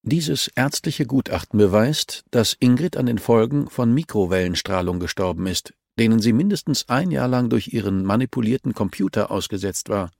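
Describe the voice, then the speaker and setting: Cool, relentless policewoman, read by a man, gravelly edge. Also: on the low side, moderate pace, few pauses, dry recording, studio quality, voice pushed up. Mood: insistent. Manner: calm, insistent, accusatory. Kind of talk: crime audiobook dialogue.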